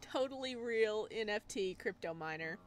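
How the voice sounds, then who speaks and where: A woman's voice: medium pitch, lively intonation, moderate pace. A woman doing a visual novel let's play, reading text aloud and breaking off for chatty, casual asides. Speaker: gaming video host.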